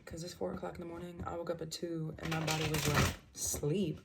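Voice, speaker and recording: A woman's voice: deep voice, flat delivery, brisk, gravelly, very noisy. A young woman doing a casual tarot reading from home in the early morning, rambling and trailing off, with birds chirping outside. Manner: rambling, trailing off, self-interrupting. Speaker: tarot reader. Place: small home room at night, birds outside.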